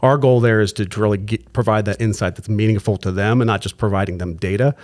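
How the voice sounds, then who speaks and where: Male guest, rapid explainer, lowish register, talks in looping restarts. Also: fairly even intonation, a little mellow. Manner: fast, with restarts and tag questions. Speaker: client services executive, guest. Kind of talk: explanatory business talk.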